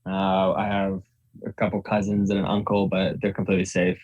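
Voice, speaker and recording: A man's voice: low-pitched, monotone, brisk, darkish tone, muffled recording. A young man, born in Ukraine and raised in Virginia, chatting casually as a podcast guest, often laughing and repeating words. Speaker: guest, professional tennis player.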